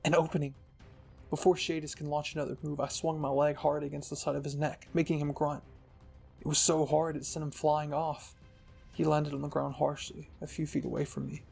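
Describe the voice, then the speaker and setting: A man's voice: on the high side, little pitch movement, fairly quick, creaky, darkish tone. A man narrating a scripted fan-fiction story dramatically, as the host of a storytelling channel. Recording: music behind the voice.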